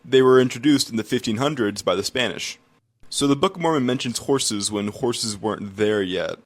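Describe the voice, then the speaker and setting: Male explainer narrator, steady, matter-of-fact. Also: medium pitch, normal speed.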